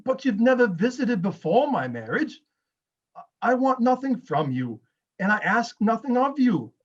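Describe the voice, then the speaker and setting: A man acts a cheerful, earnest nephew in an amateur dramatic reading. His voice is high and animated, with friendly, slightly halting delivery. The recording is slightly muffled. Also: exaggerated voice. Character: Fred, cheerful, good-hearted nephew of Scrooge. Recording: dull lo-fi video-call audio.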